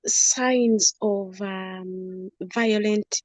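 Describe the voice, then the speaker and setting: A woman's voice: mid-range voice, steady tone, extremely slow, darkish tone, no echo. A woman giving her opinion in a casual discussion, tentative and searching for words. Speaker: book club participant.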